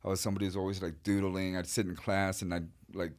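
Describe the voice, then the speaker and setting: A middle-aged man from Chile, a guest artist telling his life story in a relaxed, rambling way with many fillers. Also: deep voice, quick pace. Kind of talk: casual interview storytelling.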